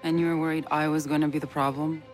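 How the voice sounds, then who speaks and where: A woman's voice: low voice, flat delivery, fast, soft background music, close to an everyday voice. An actress playing a self-assured, blunt young woman in a dramatic video game. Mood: brisk, teasing.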